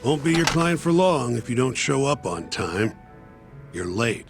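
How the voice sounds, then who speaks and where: Gravelly, blunt lawyer. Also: male voice, mid-range voice, very animated, measured pace, music in the background. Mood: irritated. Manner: blunt, colloquial, matter-of-fact. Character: brisk, pragmatic, unsentimental male defense lawyer. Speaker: video game voice actor. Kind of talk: English game dub dialogue.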